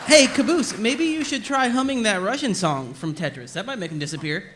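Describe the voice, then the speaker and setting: A man playing a laid-back, sarcastic, slangy soldier in a comedy table read of an animated series. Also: sits high, fairly quick, a loud crowd, very noisy. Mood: teasing. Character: laid-back, smart-alecky male soldier.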